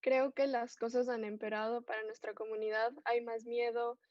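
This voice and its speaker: An organizer from Ecuador speaks, earnest and steady. Her voice sits a bit high. The lo-fi video call sound is dry and muffled. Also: fairly quick, no room sound. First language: Spanish. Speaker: youth organizer. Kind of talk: live stream guest, bilingual. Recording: dull, lo-fi call audio.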